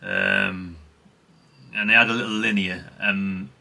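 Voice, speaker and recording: A man's voice: low-pitched, little pitch movement, takes it slowly, vocal fry, sharp tone. A middle-aged British man talking casually in a rambling, chatty show-and-tell way, often correcting himself and adding tag questions. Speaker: hobbyist video host.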